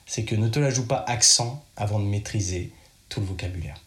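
A man's voice: on the low side, fairly quick, echoey room. A French man giving casual advice in a chatty, direct way, speaking straight to the listener. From France. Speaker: podcast host.

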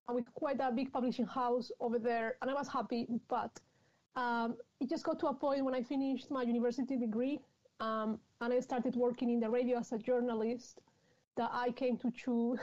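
A woman's voice: fairly high pitch, darkish tone. A woman in her thirties from Spain with a Spanish accent, speaking English as a second language and chatting frankly as a guest author in an interview. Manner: laughs often, frank and chatty. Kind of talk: conversational interview. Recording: dull lo-fi sound.

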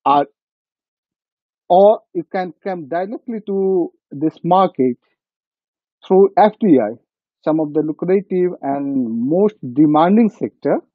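Phone-like thin audio, high-pitched male voice, Nepali accent, halting and repetitive, business discussion. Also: animated, husky, no echo. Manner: halting, repeats phrases for emphasis. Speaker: business guest.

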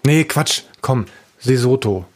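A man's voice: medium pitch, animated, takes it slowly. A man co-hosting a podcast, chatting casually and playfully and laughing easily. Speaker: podcast co-host. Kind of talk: casual joking chat.

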